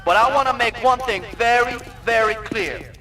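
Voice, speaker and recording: An actor playing a stern, sardonic, gung-ho American Marine commander delivering a rallying military briefing in a video game. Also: male voice, very high-pitched, normal speed, noisy background. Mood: stern, rousing.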